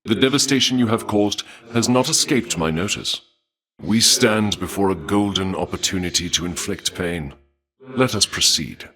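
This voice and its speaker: Video game war god, male actor: low-voiced, suave and bloodthirsty, formal archaic delivery, deliberate and courteously menacing. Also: fairly bright tone, close to an everyday voice. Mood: eager, conspiratorial. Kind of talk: theatrical video game character dialogue.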